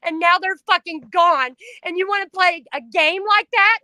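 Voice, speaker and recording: A middle-aged woman talking on a home stream, loud and blunt, swearing freely, her voice climbing when she gets upset. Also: very high voice, moderate pace, dry recording. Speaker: stream co-host. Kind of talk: emotional casual chat.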